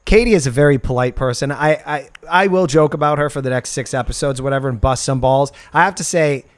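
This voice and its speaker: A man's voice: mid-range voice, quick pace. A man co-hosting a casual comedic TV recap podcast, talking in a blunt, wry way. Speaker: podcast co-host.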